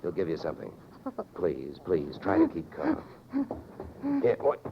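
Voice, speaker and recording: Hardboiled radio detective, dull vintage sound. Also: male voice, rumbling low, wildly swooping pitch, unhurried, gravelly, noisy background, exaggerated voice. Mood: firm, urgent. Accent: American. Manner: clipped, sardonic, pushy. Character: hardboiled, wisecracking male freelance insurance investigator. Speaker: lead actor and first-person narrator. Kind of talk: 1950s American radio detective drama acting. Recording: vintage radio recording, music behind.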